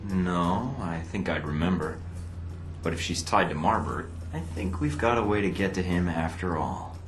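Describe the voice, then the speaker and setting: A man playing a cocky, wisecracking secret agent in a video game, talking with smooth, flippant sarcasm. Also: low voice, moderate pace, close to an everyday voice. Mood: wry, relaxed. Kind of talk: video game cutscene acting. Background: prominent music.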